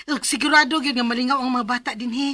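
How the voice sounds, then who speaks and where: An expressive high-voiced young mother. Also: fast. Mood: delighted. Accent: Filipino Bisaya. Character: expressive wife and young mother, easily indignant. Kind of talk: Philippine radio drama acting.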